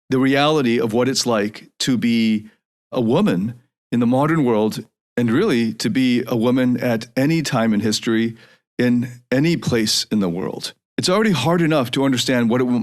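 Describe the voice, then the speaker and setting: A man in his forties hosting a solo podcast, talking in an earnest, lecturing way with frequent shifts of pace. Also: mid-range voice. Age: 40s. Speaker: podcast host.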